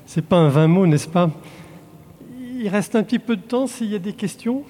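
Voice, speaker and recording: A man from Belgium, a translator, answering audience questions in a halting way with frequent restarts. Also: high voice, lively intonation, dark tone. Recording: long hall reverb.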